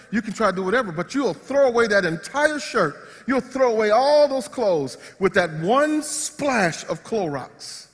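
A man preaching a lively sermon, acting out everyday scenes in voices. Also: high-pitched, long reverb tail. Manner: animated, acts out body parts in comic voices. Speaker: pastor. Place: church sanctuary.

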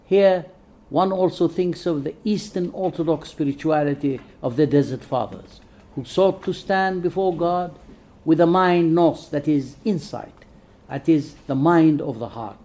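A man whose first language is not English, reading a prepared scholarly lecture in a measured way with soft hesitations. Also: fairly high pitch.

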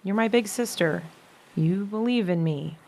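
A young American woman playing a frail, weary younger sister, gentle but frank, in a modern audio drama. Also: medium pitch, measured pace, lightly acted. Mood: resigned, earnest.

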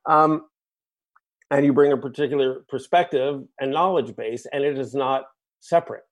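A man from the United States, here as a guest musician in a relaxed interview. He speaks in long, winding, reflective thoughts and keeps checking in with his host through little asides like "right?" and "you know". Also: medium pitch, normal speed.

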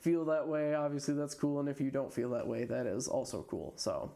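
An afab podcast guest with a fairly low voice, talking casually in a hesitant, rambling way full of fillers and restarts, often laughing mid-sentence. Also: female voice, a little fast, creaky, warm tone. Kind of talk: casual conversation.

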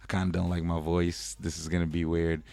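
A man's voice: low voice, completely flat delivery, a little fast, gravelly, warm tone, no echo. A young man hosting a podcast, asking hesitant, rambling questions in a casual way. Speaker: podcast host.

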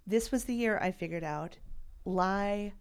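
A woman in her forties with a mid-pitched voice, co-hosting a podcast. She chats casually and tells stories with animation, acting out other people's lines as she goes. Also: measured pace, smooth. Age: 40s. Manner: animated storyteller, acts out voices. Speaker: podcast co-host. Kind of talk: casual chat.

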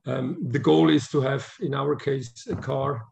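Gravelly man in his fifties. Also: mid-range voice, steady tone, a little slow, darkish tone, slight background noise. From Switzerland. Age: 50s. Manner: thoughtful, frequent restarts and "um"s, chuckles easily. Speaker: guest, motorsport sporting director. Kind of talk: casual interview answers.

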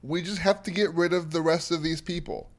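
A man co-hosting a chatty podcast, his voice sitting a little higher than most men's. He recaps with emphatic, opinionated conviction, pressing hard on his verdicts. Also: steady tone, brisk. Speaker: podcast co-host. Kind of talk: casual chat.

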